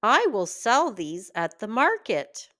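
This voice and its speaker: A woman's voice: mid-range voice, very animated, slow pace, fairly bright tone. A woman voicing a humble, grateful male shoemaker in a children's fairy tale. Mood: amazed, delighted.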